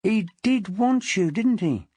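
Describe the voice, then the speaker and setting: A woman voicing a menacing, obsessive, jealous husband in an audiobook, crooning and hissing with drawn-out words. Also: medium pitch, expressive, mellow, slightly rough, dry recording, voice pushed up.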